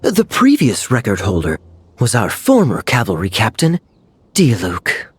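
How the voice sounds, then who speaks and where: A sly teasing knight. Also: male voice, lowish voice, wildly swooping pitch, a little slow, dry recording, full character voice. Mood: wry. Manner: smooth, teasing, chuckles often. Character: suave teasing male knight, adult, sly and relaxed. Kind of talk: game cutscene voice acting.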